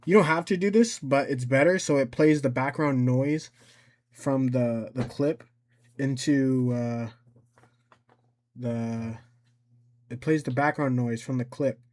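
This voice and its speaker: A man giving an informal step-by-step software tutorial, thinking aloud and somewhat hesitant as he goes. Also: medium pitch, slow pace, pauses often. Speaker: tutorial host.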